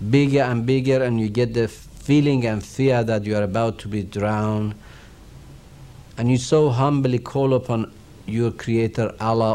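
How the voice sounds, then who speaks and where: A man with a Persian accent speaks in a soft, mellow, darker tone. He delivers a recorded religious lecture slowly and reverently, with a calm, measured pace. He sounds middle-aged. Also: mid-range voice, smooth. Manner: slow, measured, reverent recitation and explanation. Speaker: Quran teacher.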